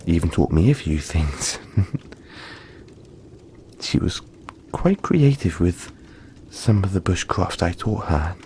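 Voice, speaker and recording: A man voicing a gentle, cuddly young yeti in a soft-spoken, hesitant, soothing roleplay addressed to the listener. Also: sits low, big pitch swings, slow pace, pauses often, a little hiss or hum, full character voice. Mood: fond, chatty.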